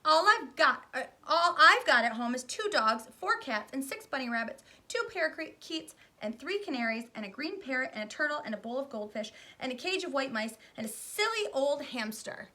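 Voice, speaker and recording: A woman voicing a spoiled little girl who shouts her demands. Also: sits high, very animated, normal speed, hardly any pauses, pitched above the performer's own voice, full character voice. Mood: demanding.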